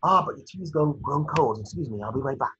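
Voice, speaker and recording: A man playing an eccentric, absent-minded older wizard in a scripted table read, with a husky voice on a dull video call. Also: medium pitch, lively intonation, brisk, a bit bright, lightly acted. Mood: grave, distracted. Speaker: narrator and actor in table read. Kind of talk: scripted stage-direction narration and character reading.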